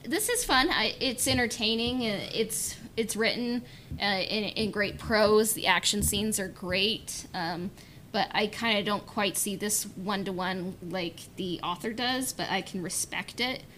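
A woman co-hosting a podcast, talking in an informal, halting, slightly wry way. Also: a bit bright, poor audio. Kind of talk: casual chat. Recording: noticeable low rumbling background noise.

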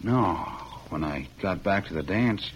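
An actor playing a wronged young cowboy ex-convict in a vintage radio western, speaking plainly and earnestly. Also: male voice, deep voice, expressive, measured pace. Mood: bitter, earnest. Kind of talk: 1940s-50s radio western acting. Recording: muffled, hissy old radio recording.

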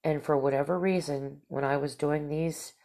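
A woman's voice: deep voice, fairly even intonation, moderate pace, creaky, dark tone. A woman hosting a talk show, whose stuffy, nasal voice rambles casually through stories and asides. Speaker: podcast host. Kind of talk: casual storytelling monologue.

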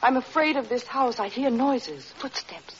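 An actress playing a frightened, self-doubting wife in 1940s radio drama, anxious, halting and pleading. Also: female voice, sits high, animated, a little hiss or hum. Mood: frightened, distressed. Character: frightened, doubting young wife being driven to despair. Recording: dull old radio broadcast recording.

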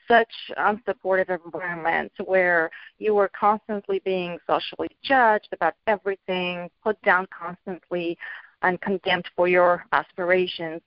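A middle-aged woman from Bulgaria, a children's author being interviewed, talking in a hesitant way with many ums and soft laughs. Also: lowish voice, no echo. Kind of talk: casual interview talk. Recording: dull lo-fi audio.

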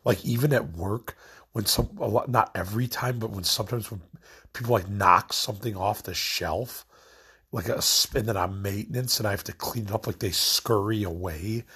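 Man, young adult, from Illinois, stoned, rambling rant, filler-heavy, very raspy, lowish voice. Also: moderate pace, sharp tone. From Illinois, USA. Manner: meandering, filler-heavy, lots of "like". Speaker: vlogger. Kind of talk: rambling late-night rant.

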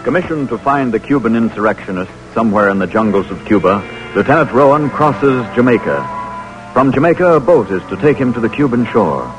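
A man's voice: mid-range voice, sing-song, fairly quick, music in the background, low-quality recording. A man giving scripted dramatic narration as a 1940s radio narrator, on an old recording.

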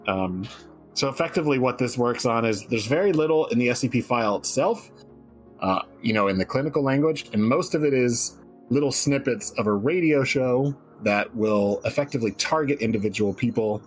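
A man's voice: medium pitch, expressive, brisk, faint music behind. A man talking casually about his own writing in a joking, self-deprecating way. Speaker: guest writer on a fiction stream.

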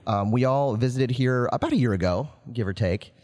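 A man's voice: mid-range voice, fairly quick, a little mellow, in a large hall. A man hosting a casual podcast on location inside a distillery, upbeat and loose, with many fillers and restarts. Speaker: podcast host. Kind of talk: casual chat. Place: distillery building interior.

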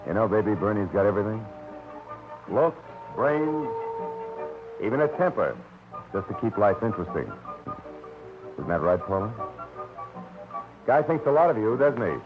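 An actor playing a tough, wisecracking private detective who also narrates, in 1940s radio drama style, sardonic and hard-boiled. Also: male voice, on the low side, big pitch swings, moderate pace, long pauses, music in the background. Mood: needling. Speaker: narrator and lead. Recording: lo-fi vintage radio broadcast.

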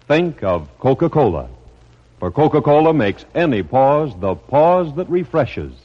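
Animated American radio announcer man, vintage broadcast. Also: mid-range voice, husky, dull audio. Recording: band-limited vintage radio broadcast with music. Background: loud music.

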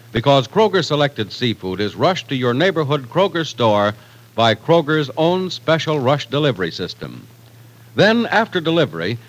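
Male announcer, old-time radio broadcast, mid-pitched, sweeping emphatic intonation, polished sales reads. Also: fairly bright tone, rough recording. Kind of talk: 1940s radio announcing, scripted. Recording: vintage radio broadcast recording.

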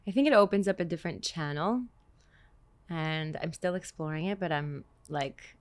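A young woman from Canada, a singer guest, talking in a relaxed, reflective way and laughing easily. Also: sits low, moderate pace. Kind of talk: casual interview chat.

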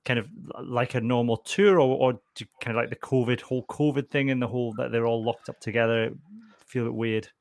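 A man's voice: mid-range voice, steady tone, brisk, darkish tone, dry recording. A middle-aged man hosting a casual podcast, jokey and chatty, often restarting his sentences. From Scotland. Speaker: podcast host.

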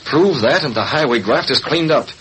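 An actor playing a resolute masked crime-fighter in a 1940s radio drama, with clipped, commanding delivery. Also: male voice, mid-range voice, lively intonation, normal speed, fairly bright tone, natural voice. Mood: intent. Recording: noisy vintage radio recording.

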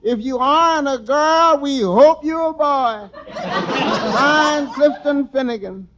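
An actor playing a sly, deadpan waiter serving as toastmaster in a 1940s radio comedy. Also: male voice, extremely high pitch, slow pace, a loud crowd. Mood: droll. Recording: noisy old radio recording, loud audience.

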